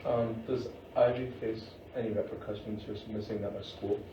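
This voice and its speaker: A man with a fairly low voice speaks casually and haltingly in a group discussion. He sounds distant and muffled. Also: fairly even intonation. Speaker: audience member asking questions. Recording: muffled, noisy, echoey room from far off.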